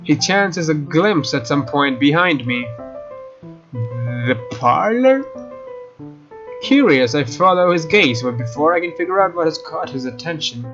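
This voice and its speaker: Male let's play narrator, slightly high voice, reading story text aloud over clear guitar game music. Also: animated, measured pace. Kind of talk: scripted narration read aloud from a visual novel. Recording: dull, lo-fi microphone sound.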